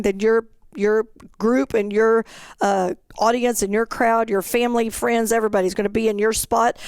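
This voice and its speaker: A woman with a fairly high, smooth voice walks through practical instructions as an organizer. She is plain-spoken and informal, and the sound is close and dry. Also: a little mellow, no room sound. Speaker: event organizer presenting an information session. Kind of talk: informal explanatory presentation.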